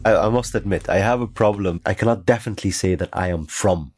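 Middle-aged male interviewee, very low voice, Arabic accent, measured and reflective personal storytelling. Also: a little mellow, faint music behind. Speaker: documentary interviewee.

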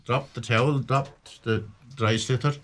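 An older man with a Limerick Irish accent, a radio guest reminiscing about old hurling matches in a halting way with many restarts. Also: mid-range voice, fairly even intonation, fairly bright tone, a little hiss or hum. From Limerick, Ireland. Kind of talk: casual radio chat about hurling history.

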